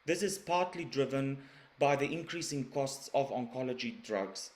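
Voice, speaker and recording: A man's voice: mid-range voice, animated. South African man giving a formal talk. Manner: measured, formal delivery. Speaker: radiation oncologist presenter. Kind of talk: scripted presentation. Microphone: webinar recording.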